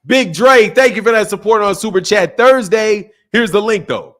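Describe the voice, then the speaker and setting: A man's voice: high voice, moderate pace, fairly bright tone. A man from the United States hosting a livestream, chatting casually with viewers in an emphatic, slangy way and repeating phrases for emphasis. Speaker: livestream host of a boxing talk channel. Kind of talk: casual livestream chat, reading and answering viewer messages.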